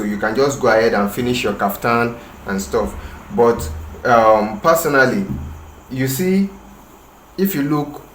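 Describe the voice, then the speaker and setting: A man from Nigeria with a Yoruba-tinged Nigerian English accent, walking through a sewing tutorial with a slow, hesitant manner full of pauses and restarts. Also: mid-range voice. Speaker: tailoring instructor. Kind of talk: tutorial demonstration. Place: room with a patterned rug, working on the floor. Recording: echoey room, faint ambient noise.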